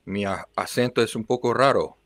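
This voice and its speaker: Low-voiced man chatting on a livestream. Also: fast, fairly bright tone, no room sound. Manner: chatty, rambling, reacts to viewer comments; switches between English and learner's Spanish. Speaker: livestream host. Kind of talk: casual chat with viewers.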